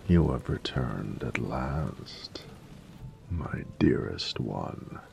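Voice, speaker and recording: Very deep, gravelly regal dragon. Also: male voice, darkish tone, slight background noise, full character voice. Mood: fond, playful. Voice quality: gravelly, breathy, often near a whisper. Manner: grandiose, formal, measured phrasing. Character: regal, ancient male dragon, doting and flirtatious. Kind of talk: romantic audio roleplay, slow intimate monologue.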